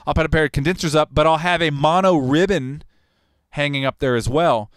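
A man in his forties, a recording engineer and host, talking in a relaxed, rambling, technical way in a studio control room on a broadcast mic. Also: fairly high pitch, fairly quick, clean tone, dry recording. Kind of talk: casual livestream talk on recording. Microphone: large broadcast mic on arm, headphones on.

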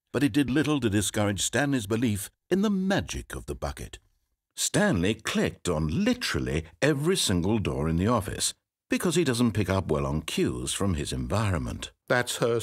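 An actor playing a dry, sardonic male British storybook narrator in a video game, with a slightly husky voice. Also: lowish voice, wildly swooping pitch, normal speed, no echo, heavily acted. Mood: dry wry. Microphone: close studio mic. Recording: dry studio recording.

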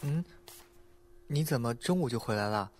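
A man acting a gentle, hesitant young roommate. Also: medium pitch, expressive, normal speed, faint music behind, close to an everyday voice. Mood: flustered, gentle. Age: young adult. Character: gentle, timid, caring young male roommate. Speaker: voice actor. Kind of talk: Chinese audio drama acting.

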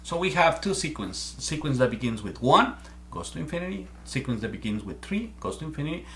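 A man's voice: on the high side, sing-song, a little fast, bright, forceful tone, slight background noise. Earnest man with a Mexican accent. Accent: Mexican Spanish. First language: Spanish. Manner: earnest, repeats words while thinking. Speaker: amateur math presenter. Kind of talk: explaining step by step to camera. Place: small room at home, indoors.

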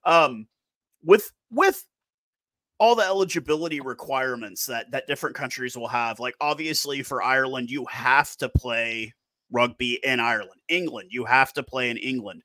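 Bright-voiced man hosting a call. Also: mid-range voice, normal speed, no room sound. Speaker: host. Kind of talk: casual video call chat. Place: small room indoors. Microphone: headset.

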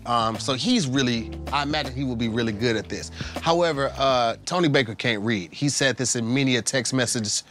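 A man's voice: mid-range voice, a little fast, hardly any pauses, fairly bright tone, faint music behind. A man comedian chatting to camera in a casual comic confessional style. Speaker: comedian contestant. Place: podcast studio.

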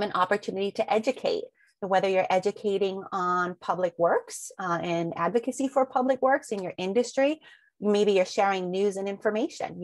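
A woman's voice: medium pitch, a little mellow, no room sound. A woman presenting a webinar over slides, talking through a long run of rhetorical questions with frequent ums, and sounding a little sniffly from allergies.

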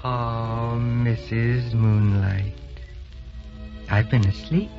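An actor playing a devoted, elderly husband, feeble and forgetful, in vintage radio drama style. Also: male voice, mid-range voice, steady tone, extremely slow. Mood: drowsy, confiding. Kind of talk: 1930s radio drama acting. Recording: dull vintage radio recording with music.

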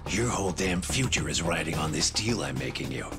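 An actor playing a sleazy middle-aged private detective in a video game, mocking and slangy. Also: male voice, low-pitched, animated, brisk, over loud music. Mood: smug, taunting. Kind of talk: video game cutscene acting, English dub.

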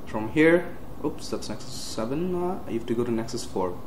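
A young man giving a casual step-by-step computer tutorial, with frequent uhs and quick self-corrections. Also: mid-range voice, expressive. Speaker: tech tutorial host. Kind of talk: screen-recorded software walkthrough. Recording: roomy with faint hiss.

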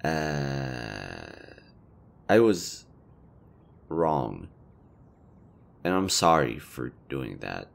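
Man acting as low-voiced, awkwardly flirty elven leader. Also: sing-song, very slow, gravelly, pauses often, heavily acted. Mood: sheepish, apologetic. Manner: conversational, hesitant, rambling, casually profane. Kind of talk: intimate roleplay audio drama.

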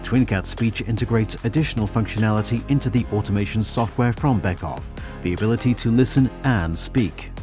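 A man reading a scripted news voice-over in a measured, formal way. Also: lowish voice. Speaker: narrator. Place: studio voice-over. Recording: voice-over over loud music. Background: music.

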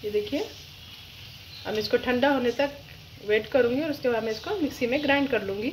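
A woman with a fairly high voice talks through a home recipe in a casual, step-by-step way, addressing her viewers as friends. She is recorded by the stove, with clear frying and sizzling noise behind her. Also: brisk. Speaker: home cook presenting a recipe. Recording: sizzling pan noise over the voice. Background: loud sizzling and frying food.

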